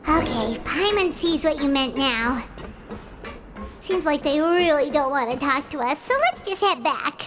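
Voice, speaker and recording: A woman voicing a small, cheeky female fairy companion in a video game, with a squeaky childlike voice and a perky manner. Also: expressive, a little slow, over music. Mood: curious, determined. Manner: perky, speaks of herself in third person. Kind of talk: animated game voice acting.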